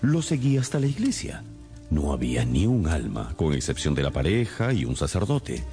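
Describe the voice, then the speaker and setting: A deep, swooping, brilliant detective. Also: male voice, wildly swooping pitch, moderate pace, dark tone, soft background music, exaggerated voice. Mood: amused, reporting. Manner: theatrical, musing aloud, incisive questioning. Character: brilliant, inquisitive male detective, brisk and wry. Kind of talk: radio drama acting.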